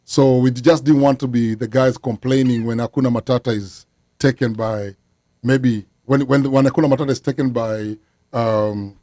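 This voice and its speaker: A man's voice: mid-range voice, steady tone, normal speed, mellow, no echo, studio quality. A man, a musician guest talking earnestly in a casual interview. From Kenya. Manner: earnest, explains at length with frequent fillers.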